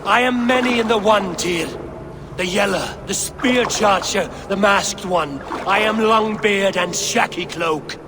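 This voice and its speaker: An actor playing a wrathful Norse god in a video game, speaking in an imperious, suspicious, declamatory way. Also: male voice, high voice, a little slow, background noise. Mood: solemn, defiant. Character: wrathful, commanding Norse god, male wanderer and warrior. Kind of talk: video game cutscene acting.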